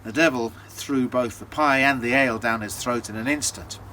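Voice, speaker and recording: A man telling a folktale as a storyteller, in a theatrical, deliberate way with dramatic pauses. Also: medium pitch, very noisy.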